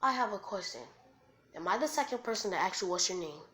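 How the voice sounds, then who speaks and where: A woman's voice: mid-range voice, animated, fast, a bit bright, natural voice. A woman voicing a five-year-old ninja boy in an anime fan story, defiant, inquisitive and insistent. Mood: confident. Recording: faint background noise.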